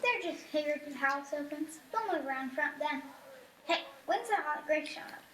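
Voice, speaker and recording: A woman's voice: sits high, lively intonation, normal speed, background noise. A woman playing a cheeky, streetwise young newsboy in a radio play, brash, slangy and wisecracking. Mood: cocky, teasing.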